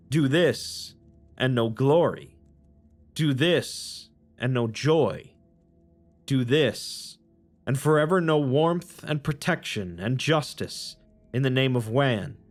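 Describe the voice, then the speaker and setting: A man voicing a broken, radiant god who gives commands in a repetitive ritual cadence. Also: medium pitch.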